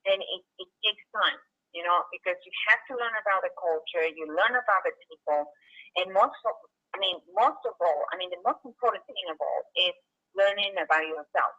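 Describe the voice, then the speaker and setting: A woman's voice: on the low side, a little fast, pushed and bright, dull audio. A woman from Peru speaking on an online call, earnest and reflective, with many "you know" fillers. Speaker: guest speaker. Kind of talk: online video call chat. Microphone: computer mic on a video call.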